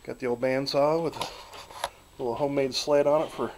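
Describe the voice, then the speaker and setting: A man's voice: medium pitch, brisk, background noise. Folksy man giving a workshop tour. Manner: relaxed, folksy and chatty. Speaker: channel host filming a shop tour. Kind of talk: casual narrated shop tour. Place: small backyard wooden workshop.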